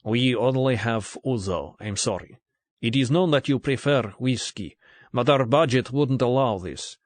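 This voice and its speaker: A man voicing a tired, frightened middle-aged Russian agent who speaks formal, halting English with a thick Russian accent. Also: medium pitch, no room sound, natural voice. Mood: tense, formal.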